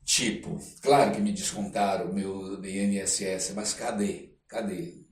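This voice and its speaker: An older man with a Brazilian accent, telling personal anecdotes as an interview guest in a halting, reflective way. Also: low-pitched, steady tone. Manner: halting, reflective, frequent tag questions. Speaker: interview guest, actor and storyteller. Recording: roomy echo, clear background noise.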